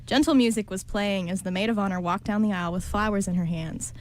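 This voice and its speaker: A woman's voice: medium pitch, fast talker, a little mellow. A woman reading scripted story narration for an amateur radio play. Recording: clearly noisy amateur recording.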